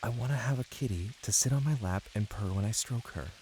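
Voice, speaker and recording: A man's voice: lowish voice, fairly quick, a little mellow, a little hiss or hum, pitched above the performer's own voice, lightly acted, rough recording. A man voicing a restless, wistful young American wife, plaintive and insistent as she longs for things. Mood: longing.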